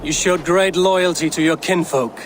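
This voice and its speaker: An actor playing a commanding, stern Viking raider in a video game, with a measured, authoritative manner. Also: male voice, fairly high pitch, fairly even intonation, noisy background, lightly acted. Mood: resolute.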